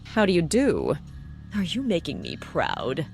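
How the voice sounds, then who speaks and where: A man's voice: a little high, wildly swooping pitch, measured pace, creaky, faint music behind, pitched above the performer's own voice, heavily acted. A man voicing a snarky, condescending, century-old female vampire for a visual novel, in an affected, lilting drawl. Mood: teasing greeting. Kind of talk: visual novel character reading.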